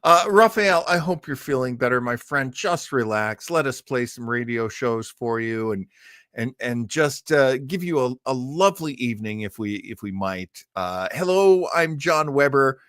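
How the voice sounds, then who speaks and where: Middle-aged man, animated pitch, chatty livestream host, rambling and effusive, dry close sound. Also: moderate pace, dry recording. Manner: rambling, effusive, self-deprecating. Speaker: host of an old-time radio show livestream. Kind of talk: casual, chatty livestream hosting.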